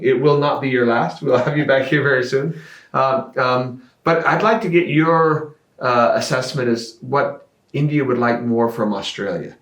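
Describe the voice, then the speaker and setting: A man hosting a conference conversation, asking friendly, chatty questions in a relaxed way. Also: mid-range voice, roomy sound. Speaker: interviewer and host. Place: conference venue in Australia.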